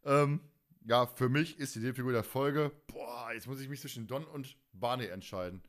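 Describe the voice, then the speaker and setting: Guy hosting a fan podcast, mid pitch, chatty and teasing, full of opinions. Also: moderate pace. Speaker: podcast host. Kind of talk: casual fan podcast chat.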